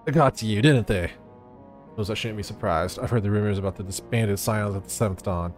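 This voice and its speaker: A man's voice: lowish voice, fairly quick, soft background music, close to an everyday voice. Man doing a bombastic tabloid conspiracy reporter. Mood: accusing. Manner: bombastic, declamatory, stammering in alarm. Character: excitable conspiracy-minded male tabloid reporter, pompous and dramatic.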